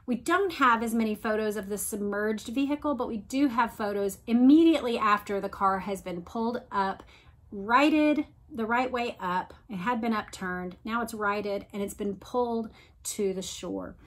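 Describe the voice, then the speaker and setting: High-pitched woman, explanatory read-along host. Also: moderate pace. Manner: explanatory, emphatic, often addressing listeners directly. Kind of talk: reading aloud with explanatory commentary.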